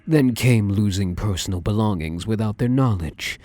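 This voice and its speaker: A man voicing a possessive, domineering male shadow spirit in an ASMR roleplay, speaking slowly and menacingly and often slipping into whispers. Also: fairly low pitch, warm tone, close to an everyday voice. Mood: taunting. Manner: slow, menacing, drifts into whispers.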